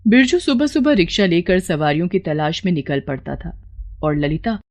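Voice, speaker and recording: A woman's voice: fairly low pitch, expressive, normal speed, a little mellow, no echo. A woman narrator reading a scripted moral story.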